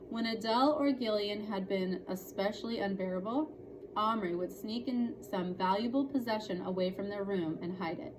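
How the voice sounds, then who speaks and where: A woman narrating a children's novel aloud in a storytelling style. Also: on the high side. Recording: clearly noisy room recording.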